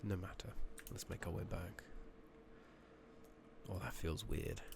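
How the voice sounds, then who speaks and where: A man with a low, creaky voice speaks softly and often slips into a whisper as he comments on a game he is playing. He takes his time, musing to himself in halting murmurs. There is clear noise behind him, with keyboard clicks. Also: expressive. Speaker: ASMR gaming streamer. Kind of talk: soft-spoken, whispered ASMR game commentary. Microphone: USB condenser microphone on a tripod with pop filter.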